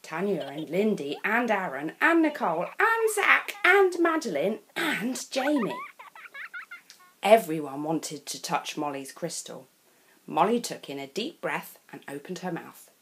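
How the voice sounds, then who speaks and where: A woman's voice: on the low side, a little hiss or hum. A woman from England with an English accent reading a children's picture book aloud as a storyteller. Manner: slow, expressive read-aloud.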